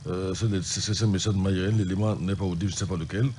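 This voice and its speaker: Deep-voiced man, sick pastor hosting radio. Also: fairly even intonation, fast talker, a little mellow, slight background noise. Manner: conversational, reads out phone numbers and listener messages.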